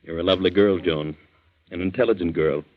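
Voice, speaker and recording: An actor playing an outwardly kindly, steady middle-aged father in a 1940s radio thriller, measured and paternal, on an old recording. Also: low voice, dull audio, natural voice. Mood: soothing.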